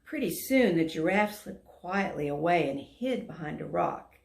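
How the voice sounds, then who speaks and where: A woman volunteer narrating a children's story aloud in an expressive, emphatic storytelling manner. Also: on the low side, unhurried, gravelly. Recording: roomy echo.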